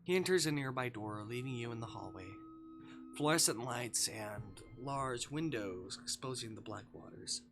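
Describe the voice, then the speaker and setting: A man's voice: mid-range voice, normal speed, over music. A man reading a visual novel aloud as a streamer, in a dramatic narrating style.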